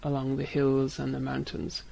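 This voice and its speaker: A woman from Ireland, talking gently and unhurriedly. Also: very deep voice, monotone, moderate pace, creaky, rough recording. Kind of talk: unscripted answers for a learner video.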